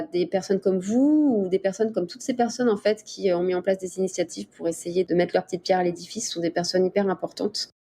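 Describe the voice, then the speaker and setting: A middle-aged woman from the Paris region answering interview questions in a casual, explanatory way with hesitant pauses. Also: mid-range voice, warm tone. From Paris region, France. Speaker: interview guest, dolphin researcher. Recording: clear background ambient noise.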